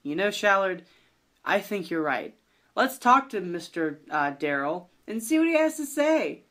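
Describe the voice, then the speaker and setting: A man's voice: high-pitched, very animated, a little slow, fairly bright tone, full character voice. A man voicing a friendly, patient male duck in an improvised story. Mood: agreeable.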